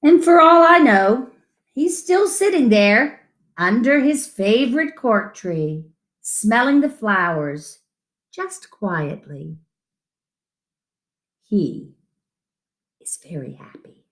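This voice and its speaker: A woman's voice: on the high side, very animated, takes it slowly, long pauses. A woman reading a picture book aloud to children as a storyteller, with dramatic emphasis and asides to her listeners.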